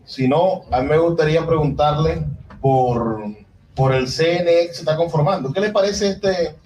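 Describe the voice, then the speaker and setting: A man's voice: a little high, darkish tone, distant mic, rough recording. A man moderating, putting questions in a spontaneous, searching way. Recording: live event, off mic, faint music.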